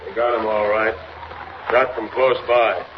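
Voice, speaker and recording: A man's voice: on the low side, fairly even intonation, unhurried, natural voice. Man acting laconic cop, very noisy recording. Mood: matter-of-fact. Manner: laconic, matter-of-fact, colloquial. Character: laconic, matter-of-fact male police officer. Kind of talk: 1940s radio drama acting. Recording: very noisy, muffled old radio recording.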